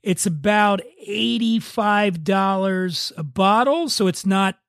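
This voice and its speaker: A man's voice: high-pitched, slow, a bit bright, no echo, studio quality. A man hosting a podcast, chatting casually and explaining things with enthusiasm and the odd swear word. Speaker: podcast host. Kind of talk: casual chat about wine.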